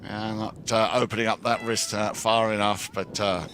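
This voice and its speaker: A man's voice: fairly low pitch, little pitch movement, moderate pace, a bit bright. A man doing live sports commentary with casual banter. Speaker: polo match commentator. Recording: clear background ambience noise.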